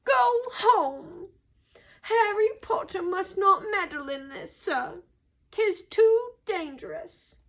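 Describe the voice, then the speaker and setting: A woman's voice: extremely high pitch, lively intonation, slow, pitched above the performer's own voice, heavily acted. A woman voicing a small male house elf for a storybook, servile, fearful and anguished, squealing and moaning as he pleads. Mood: frightened warning. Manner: pleading, stammering, tearfully dramatic.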